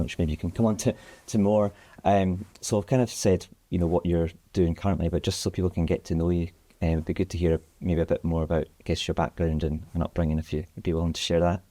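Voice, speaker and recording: A man hosting an interview podcast, introducing his guest and asking questions in a polite, measured way. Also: sits low, a little mellow, no room sound. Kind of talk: episode introduction and conversational interviewing.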